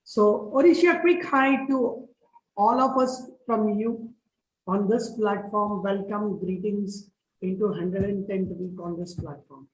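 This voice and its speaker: A woman's voice: medium pitch, takes it slowly, echoey room, low-quality recording. A woman hosting an online talk show, introducing her guest in an upbeat, rousing way. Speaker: host.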